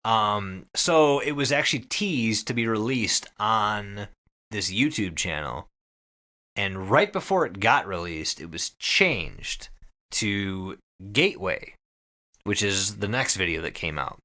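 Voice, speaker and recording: A man hosting a podcast, telling a story casually to friends in an excited, conversational way with some swearing. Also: on the low side, slow pace. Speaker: podcast host.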